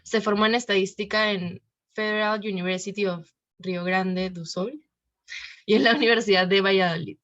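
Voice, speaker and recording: A woman's voice: medium pitch, fairly even intonation, moderate pace, fairly bright tone. A woman hosting an online talk introduces the guest in a chatty, informal way. Her voice sits mid-range and comes through a dull video call line. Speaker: host.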